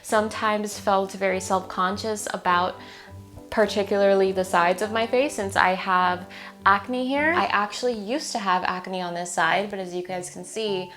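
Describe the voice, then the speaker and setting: A woman's voice: mid-range voice, fairly even intonation, normal speed, fairly bright tone, soft background music. A young woman in her twenties chatting upbeat to camera in her small home sewing studio, her voice a little worn. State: slightly losing her voice. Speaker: sewing vlogger. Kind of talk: casual vlog talk to camera.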